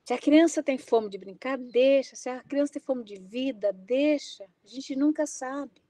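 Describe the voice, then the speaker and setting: A woman's voice: high voice, a little slow, dark tone, dry recording. A middle-aged woman with a Brazilian Portuguese accent, giving an impassioned academic talk, emphatic and exclaiming. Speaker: invited lecturer.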